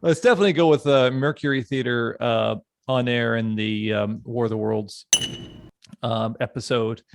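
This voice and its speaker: A man's voice: mid-range voice, steady tone, moderate pace, creaky, no room sound. Male podcast host, casual and effusive, mid-range voice in a dry recording. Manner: enthusiastic, effusive.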